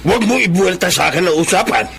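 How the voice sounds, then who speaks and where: Casting: fault-finding older Filipino dad for radio drama, bright high-pitched voice, emphatic, background noise. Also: male voice, lively intonation, quick pace, gravelly, exaggerated voice. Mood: defensive, angry.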